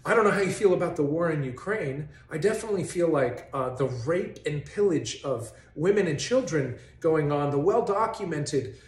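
Sarcastic man with a lively, high voice. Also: moderate pace, hardly any pauses. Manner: mock-incredulous, sarcastic, gesturing as he talks. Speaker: host of a faith video series. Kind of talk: sarcastic religious commentary to camera. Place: bright modern living room, indoors. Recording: roomy echo in a home.

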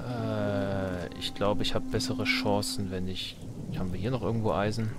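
A man's voice: on the low side, flat delivery, normal speed, very noisy. A man giving casual Let's Play commentary on a strategy game, colloquial and playfully exasperated, half talking to himself. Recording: game music behind the voice. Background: video game music.

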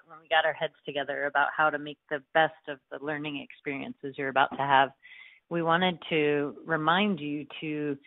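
A woman's voice: low-pitched, no room sound. A woman nurse-midwife faculty member speaking earnestly in a webinar over a video call. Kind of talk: webinar talk. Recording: phone-like video call audio.

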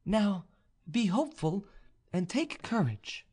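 A haughty young noblewoman from a storybook romance, acted out by a male reader. The voice is lifted high and moves with lively pitch, deliberate and imperious in every phrase. It sounds proud, composed and used to being obeyed. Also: husky, full character voice. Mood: firm, commanding. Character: proud, haughty young woman, coolly commanding in love. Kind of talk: audiobook character dialogue.

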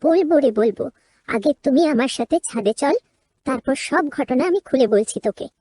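A woman's voice: high voice, lively intonation, normal speed, dark tone, a little hiss or hum. A woman voicing a kind, motherly older lady of the house in a cartoon story, affectionate and full of feeling. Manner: affectionate, emotional, coaxing.

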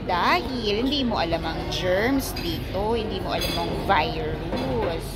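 A woman's voice: lowish voice, animated, slow. A woman with a Filipino accent, chatting casually. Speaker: vlogger. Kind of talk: casual chat. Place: busy restaurant and mall interior. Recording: very noisy, crowded public place. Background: loud crowd chatter and ambient noise.

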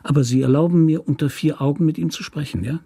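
A man's voice: a little high, quick pace, creaky, warm tone, slightly rough, no echo, studio quality, voice pushed up. A man voicing a principled, determined lawyer in an audiobook story, earnest and insistent. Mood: challenging.

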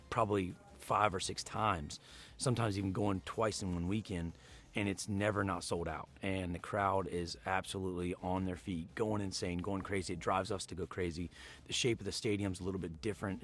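A man in his thirties, a monster truck driver, answering an interview question thoughtfully with some hesitation, recorded in a dark studio. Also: low-pitched.

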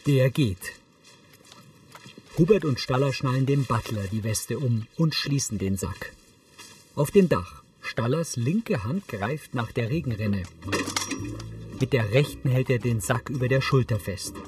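A man's voice: medium pitch, lively intonation, unhurried, darkish tone, recorded from a distance. A man reading scripted audio description, narrating on-screen action in the present tense.

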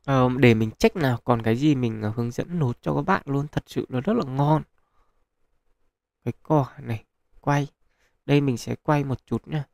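Male gaming host, gravelly mid voice, chatty advice with fillers, dry close mic. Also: mid-range voice, moderate pace, long pauses, darkish tone, no echo. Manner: chatty, advising viewers, many fillers. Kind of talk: casual gameplay commentary. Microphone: close mic, voice-over on screen capture.

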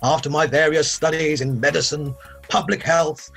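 A man's voice: fairly high pitch, steady tone, moderate pace, vocal fry, fairly bright tone, soft background music. An older actor playing an eccentric male inventor who rambles and gushes, speaking over a video call. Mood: gushing. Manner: rambling, gushing, sing-song emphasis. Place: home room, video call.

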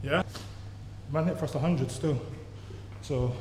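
A young man hosting a gym vlog, coaching and cheering players on with loud, hyped encouragement. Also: fairly high pitch, takes it slowly, far from the mic, slight background noise. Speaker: fitness coach and show host. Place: indoor gym, large echoey room. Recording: echoey gym with music.

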